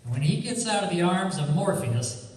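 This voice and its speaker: Wisecracking amateur sleuth, played by a man, slightly high voice, breezy and sardonic, radio play in a very echoey hall. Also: very animated, moderate pace. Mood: smug, breezy. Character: cocky wisecracking male amateur detective. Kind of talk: old-time radio detective drama acting. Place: college stage radio theatre performance. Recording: echoey hall from far off, faint noise.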